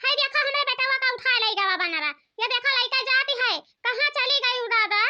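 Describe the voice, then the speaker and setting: Cartoon young mother, frantic wailing daughter-in-law, extremely high and piercing. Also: normal speed, pushed and bright, exaggerated voice. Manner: wailing, calling out. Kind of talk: comic cartoon dialogue.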